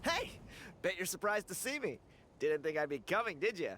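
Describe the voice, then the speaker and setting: A woman's voice: on the low side, very animated, moderate pace, fairly bright tone, a little hiss or hum. A woman voicing a friendly, eager young villager in a video game. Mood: bubbly, encouraging.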